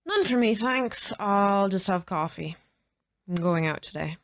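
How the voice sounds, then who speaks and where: A woman's voice: mid-range voice, lively intonation, a little slow. A woman playing a confident, worldly former call girl in an audio roleplay, nonchalant and wry. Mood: breezy, wry.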